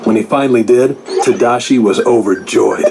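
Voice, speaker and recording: A man narrating a scripted children's storybook in a dramatic, clearly enunciated storytelling manner. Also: medium pitch, moderate pace. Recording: loud music behind the narration.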